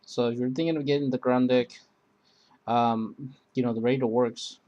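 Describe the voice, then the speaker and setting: Halting man giving a casual gear demo. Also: medium pitch, fairly even intonation, mellow, muffled recording. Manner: halting, rambling, repetitive. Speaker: video host reviewing gear. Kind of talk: casual unscripted product demo.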